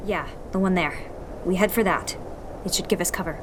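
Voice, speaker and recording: A woman's voice: fairly low pitch, a little fast, background noise, close to an everyday voice. A woman playing a determined rebel leader. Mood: steady. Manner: steady, directing, urgent in action.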